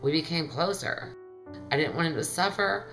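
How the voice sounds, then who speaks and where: A woman in her fifties, speaking in a measured, sober way in a documentary interview. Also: low-pitched, lively intonation, over music. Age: 50s.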